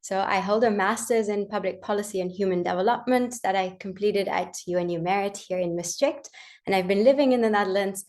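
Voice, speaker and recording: A woman's voice: medium pitch, brisk, a little mellow. A young woman from Turkey, giving a fluent, organized self-introduction as an election candidate over a video call.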